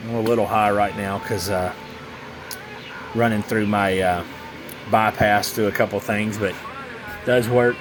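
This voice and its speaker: A man's voice: on the low side, fairly even intonation, unhurried, pauses often, over music. A man giving a casual, rambling hands-on product demo, muttering to himself as he goes. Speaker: radio seller demonstrating a CB radio.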